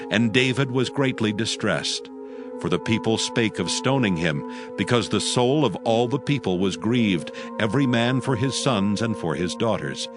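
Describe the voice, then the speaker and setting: A man narrating a dramatized audio Bible, reading scripture as a solemn, measured storyteller. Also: on the low side.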